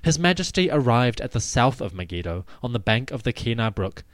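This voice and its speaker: A man giving a scripted, dramatic narration of ancient history in a measured, solemn storytelling manner. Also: medium pitch, big pitch swings, dry recording, clean studio sound. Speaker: podcast host and narrator.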